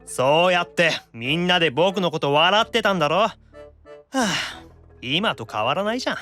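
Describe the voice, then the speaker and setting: A self-doubting young otaku demon frets through an anime-style audio drama. His high, youthful male voice is very lively, sulky and stammering, with music underneath. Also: normal speed. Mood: flustered. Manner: hesitant, stammering, exasperated muttering.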